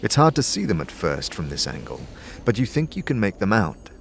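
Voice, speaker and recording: A man's voice: on the low side, very animated, a little fast, gravelly, fairly bright tone, slight background noise. A man giving theatrical, second-person scripted narration for a fantasy audio drama. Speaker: narrator and lead player.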